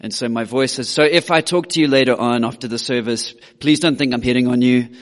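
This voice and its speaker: A male youth pastor, hoarse from preaching earlier, talking in a chatty, joking way to his congregation. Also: medium pitch, fairly quick. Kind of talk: casual sermon. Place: church hall. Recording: reverberant church hall.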